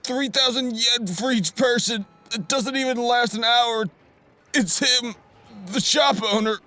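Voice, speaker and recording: A man's voice: very high voice, unhurried, sharp tone, exaggerated voice. A man playing a cocky, taunting young street thug in an anime-style audio drama, with a sneering manner. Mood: cowed.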